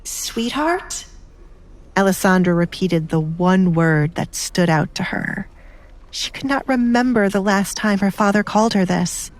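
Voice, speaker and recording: A woman's voice: mid-range voice, steady tone, moderate pace, low-quality recording. A woman reading a scripted dramatic story as an audiobook narrator.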